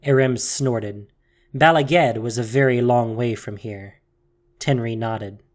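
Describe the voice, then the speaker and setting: A man reading scripted fantasy narration in a measured, solemn, dramatic way. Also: mid-range voice.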